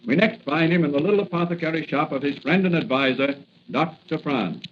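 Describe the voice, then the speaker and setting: An actor as the narrator of an adventure serial, telling the story with emphatic, suspenseful drama in 1940s radio style. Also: male voice, on the high side, a little fast, lightly acted. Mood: measured suspense. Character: dramatic male announcer of a thrilling adventure serial. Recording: muffled, noisy vintage radio recording.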